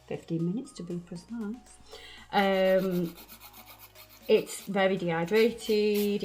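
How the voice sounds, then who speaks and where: A woman nail technician, chatting casually through a tutorial while recovering from a cold. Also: mid-range voice. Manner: chatty, laughs a lot, local slang. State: bunged up from a cold.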